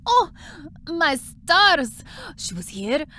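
Woman acting young part-timer, breezy, blunt, unhurried delivery, audio drama, faintly noisy recording. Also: sits high, very animated, bright, forceful tone, heavily acted. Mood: excited.

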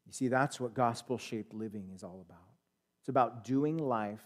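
A man in his thirties with an American accent, preaching a sermon in a church sanctuary on a headset mic, swinging from loud emphatic peaks to quiet asides. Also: mid-range voice, normal speed. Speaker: pastor. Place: church sanctuary, standing at a pulpit.

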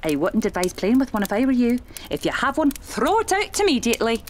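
A woman playing a seasoned, genteel spiritualist medium in a radio play, speaking in a measured, dramatic way. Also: on the high side, very animated, slight background noise. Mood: ominous.

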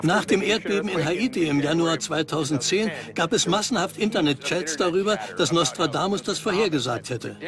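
A man's voice: fairly high pitch, expressive, a little fast, few pauses, a crowd in the background, lightly acted. A man voicing an inquisitive investigator on a documentary team, in a dubbed style. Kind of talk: documentary dub. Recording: music under the voice.

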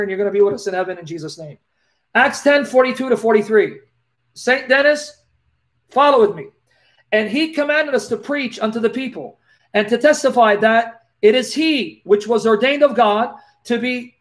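A man with a noticeable lisp and a strikingly high-pitched voice answers viewers' questions live. He reads scripture aloud in a preacherly, animated manner, close to a laptop webcam in a small dim room. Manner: animated, preacherly, gestures while talking. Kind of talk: live Q&A, reading Bible verses aloud.

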